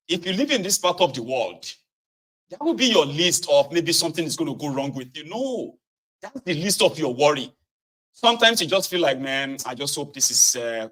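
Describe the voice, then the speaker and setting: Nigerian man hosting a phone-in show. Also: on the high side. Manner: relaxed, mixes Pidgin and Yoruba with English. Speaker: host. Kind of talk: radio phone-in talk show.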